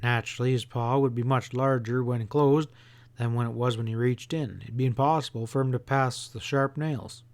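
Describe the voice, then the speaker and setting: A man reading an audiobook as an expressive first-person narrator with a folksy storytelling manner. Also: medium pitch, moderate pace. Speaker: audiobook reader.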